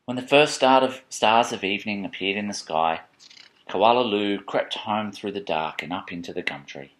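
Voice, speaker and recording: Animated man reading a bedtime story. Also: fairly low pitch, moderate pace, slight background noise, poor audio. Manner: expressive, playful, dramatic storybook emphasis. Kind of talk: animated picture-book read-aloud with chatty asides.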